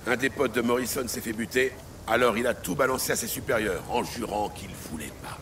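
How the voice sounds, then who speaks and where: An actor playing a hard, cynical cop who swears and talks in street slang in a French audio drama. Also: male voice, mid-range voice, a little fast, a little hiss or hum, lightly acted. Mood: grim. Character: hard, defiant cop, cynical and menacing when crossed. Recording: loud music behind the voice.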